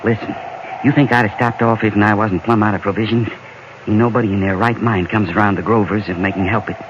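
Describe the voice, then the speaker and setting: An actor playing a superstitious, folksy frontier customer with a rural Western drawl, giving ominous warnings in an old radio Western. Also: male voice, fairly low pitch, fairly even intonation, fast talker, close to an everyday voice. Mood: wary. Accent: American rural Western drawl. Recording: noisy, muffled old radio recording.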